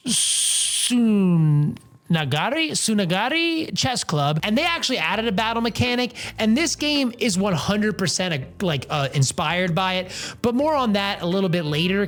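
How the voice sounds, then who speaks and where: A man hosting a casual video game review, talking conversationally with ad-libbed asides and punchy exclamations. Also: high voice.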